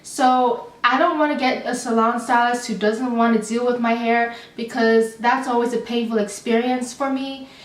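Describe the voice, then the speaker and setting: A young adult woman telling a storytime vlog in a chatty, animated, rambling way with many fillers. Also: fairly high pitch, moderate pace. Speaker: vlogger. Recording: roomy, slightly distant mic.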